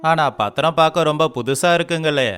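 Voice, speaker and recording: A man voicing a brave, shrewd village man in an animated moral story, speaking plainly and with assurance. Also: on the high side, brisk, clear, resonant tone, soft background music, close to an everyday voice. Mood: accusing.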